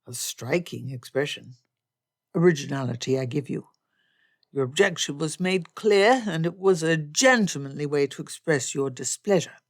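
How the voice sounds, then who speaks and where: A woman voicing a proud, ardent gentleman suitor in a period romance audiobook, with formal, measured, courtly phrasing. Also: deep voice, animated, dry recording, studio quality. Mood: wry, teasing.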